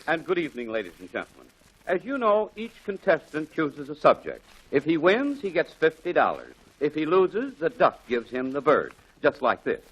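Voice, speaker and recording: A man's voice: fairly high pitch, huge pitch swings, moderate pace, breathy edge, full character voice. A man playing a jovial, joking quizmaster on a 1940s radio comedy show. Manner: jovial, corny, chuckling. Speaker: quiz show host. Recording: faintly noisy 1940s radio recording.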